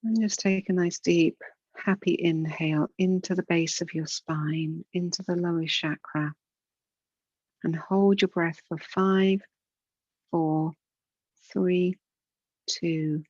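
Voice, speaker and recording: A woman instructor leading a guided yoga relaxation and breathing practice in a soothing, unhurried, gentle manner with pauses. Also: on the low side, steady tone, dry recording, muffled recording.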